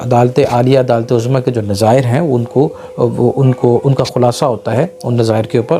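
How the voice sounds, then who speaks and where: A man's voice: mid-range voice, mellow. A man with a Pakistani accent teaching law in an informal lecture, explaining step by step and rephrasing key points. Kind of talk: informal law lecture mixing Urdu with English legal terms.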